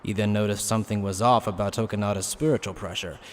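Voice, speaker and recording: A man's voice: lowish voice, fairly even intonation, fast talker, a little hiss or hum. Male story narrator in long reverb. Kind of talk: dramatic scripted story narration.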